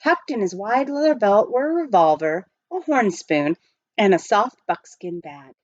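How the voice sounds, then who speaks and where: Woman reading a kids novel out loud, really animated, higher voice, kinda muffled audio. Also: measured pace, dry recording. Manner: expressive storytelling. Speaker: audiobook reader. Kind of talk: scripted narration of a children's novel.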